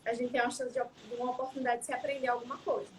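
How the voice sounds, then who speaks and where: A woman's voice: on the high side, flat delivery, racing, slight background noise, rough recording. A young adult woman, a psychologist, talking in a casual interview, reflective and often adding tag questions. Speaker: psychologist guest.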